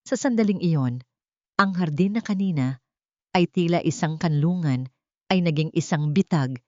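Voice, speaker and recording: Female drama narrator, low register, solemn delivery, slightly muffled lo-fi recording. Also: normal speed, mellow, no room sound. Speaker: audiobook narrator. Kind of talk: dramatic scripted narration of a love-story drama. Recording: dull, dry lo-fi recording.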